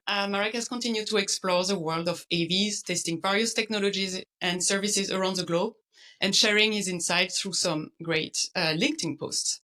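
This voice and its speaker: A woman hosts an interview over a video call, friendly and quick to laugh. Her voice is bright, with an ordinary pitch for a woman. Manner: friendly, laughs often, light fillers. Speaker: podcast host, interviewer. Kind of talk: interview hosting.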